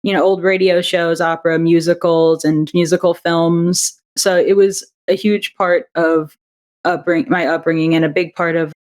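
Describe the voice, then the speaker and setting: A woman's voice: lowish voice, level tone, moderate pace. A woman being interviewed on a podcast, talking reflectively with frequent laughs and fillers. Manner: warm, reflective, laughs often, many fillers. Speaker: audio drama writer and director, podcast guest. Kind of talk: casual interview answers.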